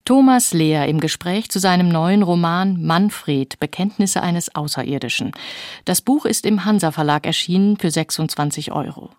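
A woman presenting a literary interview, articulate and measured as she explains and asks questions. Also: on the low side, a little mellow, dry recording, clean studio sound. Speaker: radio book-show interviewer.

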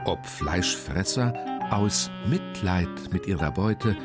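A man's voice: low-pitched, lively intonation, slow pace. A man reading scripted feature narration for children. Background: loud guitar music.

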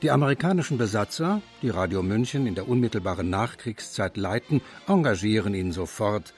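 A man reading the narration of a radio documentary feature in a measured, clear way. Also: on the low side, lively intonation, faint music behind.

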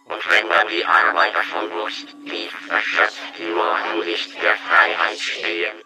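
A man's voice: sits low, completely flat delivery, unhurried. A man declaiming short scripted phrases in a terse, emphatic way. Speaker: speaker of short captions and refrains. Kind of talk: scripted declamation over music. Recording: music behind the voice. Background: music.

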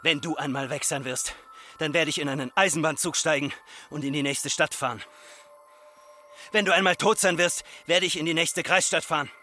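Tense, embittered farmer's son. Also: male voice, fairly high pitch, sharp tone, a little hiss or hum. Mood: bitter. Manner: incantatory, reproachful, deliberate repetition. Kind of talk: literary radio drama acting.

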